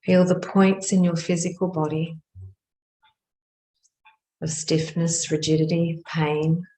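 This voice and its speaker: In an echoing, roomy space, a woman leads a guided meditation. Her voice sits low and moves very slowly, in short hushed phrases separated by long pauses. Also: little pitch movement.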